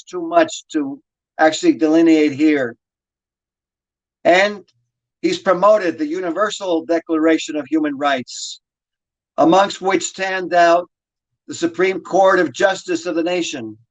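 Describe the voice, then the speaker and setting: A man hosting an interview show, reading a long guest introduction in a measured way. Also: high voice, low-quality recording. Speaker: show host.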